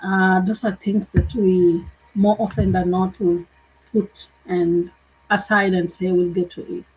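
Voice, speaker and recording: A woman's voice: lowish voice, level tone, measured pace, darkish tone, slightly rough, soft background music, poor audio. A woman hosting a conversation, asking thoughtful questions and affirming her guest. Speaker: podcast host. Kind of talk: interview conversation.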